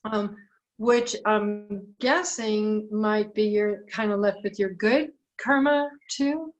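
A woman from Detroit asks a teacher a question over a video call, halting and hesitant, with many fillers. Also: mid-range voice, dull audio. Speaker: caller asking a question. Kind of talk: casual question in an online meeting.

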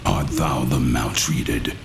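A hulking demon for a horror radio play. The voice is abyssally low and thick with gravel. Every archaic phrase comes out slowly and solemnly over prominent music. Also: male voice, heavily acted. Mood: solemn menace. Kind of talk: radio horror drama acting.

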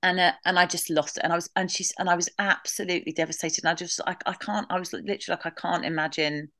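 A woman in her thirties recalling family loss in an interview, halting with many restarts, now and then laughing through it. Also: on the low side, steady tone, sharp tone, no room sound, clean studio sound. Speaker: podcast guest. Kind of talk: candid emotional interview.

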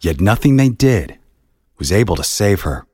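A man's voice: on the low side, big pitch swings, normal speed, warm tone, husky, no echo, studio quality. A man reading scripted storytelling narration in the manner of a history documentary.

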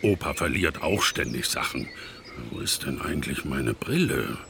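An older actor playing a kindly, absent-minded grandfather in a children's audio play, with a gentle, doddery manner. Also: a bass voice, lively intonation, normal speed, gravelly, background noise, full character voice. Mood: kindly, scatterbrained.

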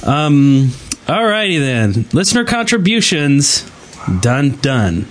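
A man's voice: on the high side, big pitch swings, slow. A man chatting casually as a podcast host. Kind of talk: casual chat.

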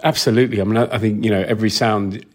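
Male interview guest, fairly low voice, reflective and informal, feeling his way through answers. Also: steady tone, racing. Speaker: film sound designer being interviewed.

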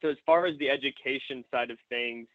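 A man's voice: medium pitch, a little fast, a bit bright, no echo. A man hosting a casual sports podcast interview, asking wordy questions in a hesitant way. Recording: dull, lo-fi, dry, music behind.

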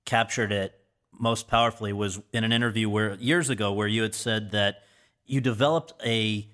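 A man's voice: fairly low pitch, steady tone, normal speed, fairly bright tone. Polite male podcast host. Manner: polite, eager questioning. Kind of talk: podcast interview.

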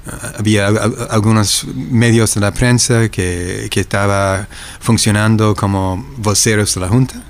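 An older American man with a somewhat low voice gives reflective testimony in an interview. His American accent marks him as a native English speaker. He feels his way haltingly through sentences, repeating syllables as he hunts for the next word. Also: fairly even intonation. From United States. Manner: halting, searching for words, frequently repeating syllables. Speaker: interviewee, academic eyewitness.

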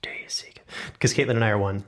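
A man's voice: fairly low pitch, fast talker, a bit bright. A man hosting a casual group chat. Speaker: host.

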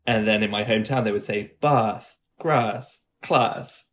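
A man's voice: on the low side, unhurried. A man from the English Midlands with an English accent, chatting as a guest accent coach and explaining things with examples. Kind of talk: casual interview chat.

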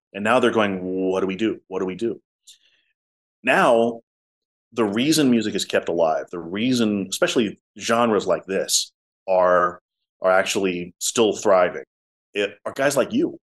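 A man with a low voice, a musician telling stories in a casual interview. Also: a little slow, long pauses. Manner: talkative storyteller, drops to soft tones. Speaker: musician guest.

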